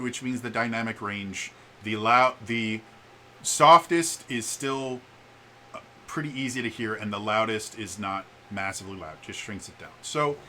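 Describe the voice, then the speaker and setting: A man giving a casual, opinionated vlog, with an expressive, emphatic delivery that shifts in volume and a stutter that catches on some words. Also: mid-range voice. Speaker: vlogger. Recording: background room noise.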